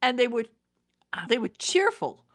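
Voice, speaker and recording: A woman's voice: a little high, sing-song, moderate pace, fairly bright tone, no echo. Emphatic woman interviewer, close dry sound. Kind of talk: conversational book interview.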